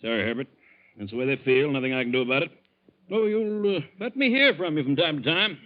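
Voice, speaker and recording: An actor playing a businesslike, blandly firm bank boss in a 1940s radio drama. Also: male voice, fairly high pitch, lively intonation, quick pace, natural voice. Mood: dismissive. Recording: tinny, band-limited 1940s radio recording.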